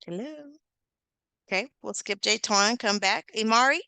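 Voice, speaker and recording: A woman hosts a video-call session in an unhurried, encouraging way. Her voice is bright and crisp with a gravelly edge, and the sound is dry and close. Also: mid-range voice, no room sound. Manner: upbeat, encouraging, leans on filler words. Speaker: host and facilitator. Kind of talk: hosting an online info session.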